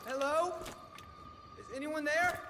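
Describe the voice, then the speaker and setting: A jittery young scholar with a high voice, fussy and formal, stumbling over his words. Clear background noise and ambience run under the game scene. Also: steady tone, slow. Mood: startled. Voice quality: fussy, stammering, overly formal. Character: nervous, wordy young male scholar, bookish. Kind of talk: video game cutscene acting.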